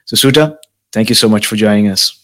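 A man's voice: lowish voice, brisk, fairly bright tone. A man hosting a podcast interview, introducing the episode and asking questions in an earnest, enthusiastic way. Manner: earnest and enthusiastic, with light laughs. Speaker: podcast host.

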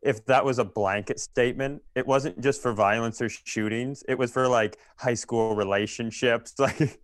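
A man's voice: mid-range voice, fairly even intonation, moderate pace, dry recording, studio quality. A man with an American accent co-hosting a comedy podcast in casual, wry, joking conversation. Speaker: podcast co-host.

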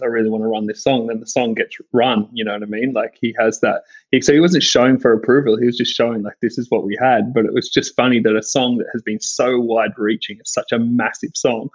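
A man's voice: medium pitch, slightly rough. Australian man, joking, slightly dull sound. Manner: animated, joking storyteller. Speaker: worship songwriter guest. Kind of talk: casual podcast chat.

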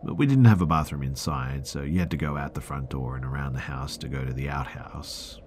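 A man reading a first-person horror story aloud in a hushed, drawn-out dramatic delivery, dropping into a whisper at times. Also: very deep voice, a little hiss or hum. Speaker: narrator. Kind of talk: scripted horror story narration.

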